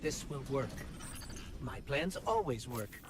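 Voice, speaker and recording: A man's voice: medium pitch, big pitch swings, slow, heavy background noise. A man voicing a wry, chatty, cocksure robot companion in a video game, musing aloud conversationally. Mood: wry, confident. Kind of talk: video game character acting.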